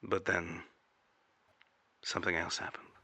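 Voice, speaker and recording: Casting: actor as serious male agency interrogator, deep and forceful, clipped official delivery, audio drama. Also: monotone, measured pace, husky, dry recording, heavily acted. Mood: probing.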